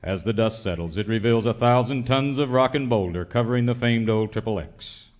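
A man's voice: mid-range voice, a little fast, a little mellow, close to an everyday voice. A man playing a melodramatic announcer narrating a mock old-time western radio serial. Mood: portentous. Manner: portentous, dramatic.

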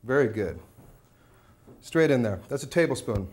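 A man's voice: mid-range voice, lively intonation, moderate pace, a little mellow, a little hiss or hum. A man in his thirties hosting a cooking demonstration, breezy and upbeat as he talks straight to viewers. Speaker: cooking show host. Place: studio kitchen set.

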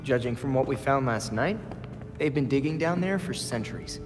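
A young man playing an earnest, sharp vigilante hero in a video game, inquisitive, wry and challenging in manner. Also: medium pitch, lively intonation, normal speed, music in the background, lightly acted. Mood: wary, questioning. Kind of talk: video game cutscene acting.

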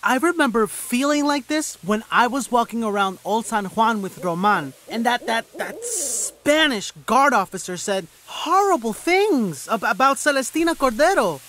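A woman's voice: a little high, very animated, moderate pace, hardly any pauses, a bit bright. A woman playing a troubled, earnest seventh-grade boy in an audio drama, speaking hesitantly and broodingly.

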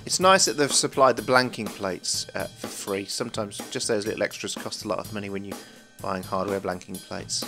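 Low, gravelly man demoing audio software. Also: normal speed, few pauses, over music. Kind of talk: casual screencast product demo.

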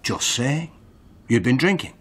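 A man's voice: medium pitch, lively intonation, a little slow, fairly bright tone, slight background noise. A man in a radio drama playing a probing police detective who questions in a clipped, persistent way. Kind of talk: naturalistic radio drama acting.

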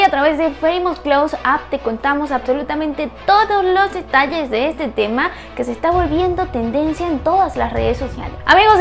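High, gravelly gossip host. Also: female voice. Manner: chatty, dramatic, lots of fillers. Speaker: celebrity news host. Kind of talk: gossip news presenting. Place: studio in front of a screen backdrop.

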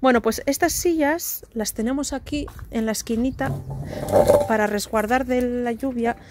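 A woman's voice: a little high, normal speed, very noisy. A woman, chatting casually as she gives a house tour on a phone held at arm's length on an outdoor terrace. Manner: chatty, animated, cheering on her son. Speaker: family vlogger. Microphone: handheld phone at arm's length.

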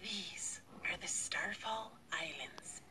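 An actress playing a calm, mysterious female guide in a video game. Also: on the low side, slow, in a whisper, exaggerated voice.

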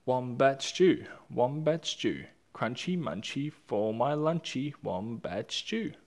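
Man playing a cocky, lively storybook dingo. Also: medium pitch, slow. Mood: gleeful chanting. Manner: boastful, sing-song chanting. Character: cocky, gleeful clever male dingo.

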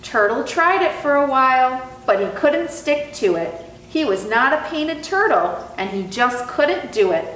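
A young woman reads a children's story in a high, very melodic voice. Her delivery is deliberate and sing-song. The room is echoey, with faint noise behind. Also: sing-song, fairly bright tone, recorded from a distance. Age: young adult. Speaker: zoo educator and storytime host. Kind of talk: expressive children's storybook reading. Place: indoor room, reading through a face mask. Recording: roomy sound with faint background noise.

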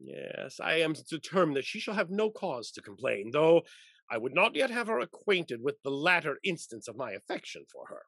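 An older male actor playing a fond, meddling old gentleman husband in a theatrical period stage comedy, with a gravelly, husky voice. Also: on the high side, moderate pace, no echo, full character voice. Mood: earnest, confiding. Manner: theatrical, animated period speech. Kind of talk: Restoration-style stage comedy over video call. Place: indoor room, plain backdrop, video call.

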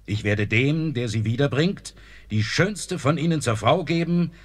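A man's voice: medium pitch, animated, a little slow, no room sound. A worried old king. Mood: grave worry. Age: older.

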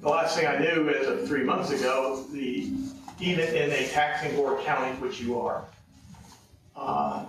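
A tired man addressing a county council from a podium, explaining at length with many filler pauses. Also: medium pitch, expressive, fairly bright tone. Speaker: public commenter on tax policy. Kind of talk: explaining at a public meeting. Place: county council meeting room. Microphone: podium mic, heard from a distance. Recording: echoey room, far from the mic.

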